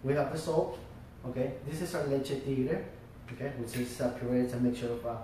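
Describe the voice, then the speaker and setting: A man's voice: mid-range voice, brisk, mellow, a little hiss or hum. A man working as a chef, walking through a cooking demonstration step by step, with many fillers along the way. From Florida. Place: restaurant. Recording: echoey room, mic far off.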